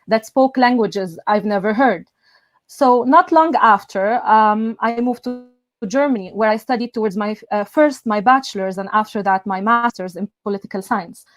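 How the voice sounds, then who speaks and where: A young woman from Egypt, a native Arabic speaker, giving an earnest, measured welcome speech as event host over a video call. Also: mid-range voice, no echo. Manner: earnest, measured, with soft filler sounds. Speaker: event host, student union president.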